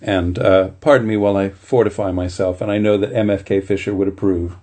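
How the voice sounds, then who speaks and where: A man reading literature aloud in a measured, deliberate way, with the informal touch of a bedtime story. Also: low-pitched, steady tone, darkish tone. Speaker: reader at a bedtime story series.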